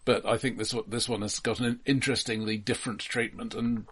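A man's voice: medium pitch, flat delivery, brisk, fairly bright tone, husky. A man co-hosting a film podcast, discussing casually and adding qualifications as he goes.

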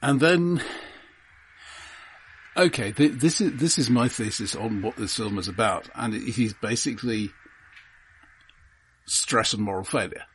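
A man co-hosting a podcast, discussing film casually and analytically in halting, self-correcting phrases. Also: medium pitch, a bit bright, slight background noise.

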